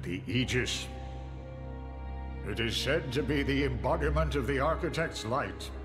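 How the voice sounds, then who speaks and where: An actor playing a grave, formal, reverent dignitary in a game cutscene, with music behind him. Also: male voice, mid-range voice, measured pace, lightly acted. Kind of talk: video game cutscene acting.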